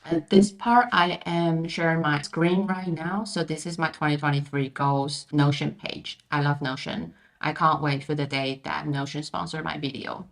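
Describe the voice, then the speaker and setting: Young woman from Taiwan, low voice, candid chatty vlogger, roomy echo. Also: steady tone, normal speed, vocal fry, few pauses. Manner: chatty, candid, repeats words for emphasis. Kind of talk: casual vlog talk. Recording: echoey room.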